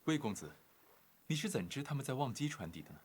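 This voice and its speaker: An actor playing a composed, courteous noble cultivator in an animated fantasy drama, speaking politely and formally. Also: male voice, medium pitch, fast talker, husky.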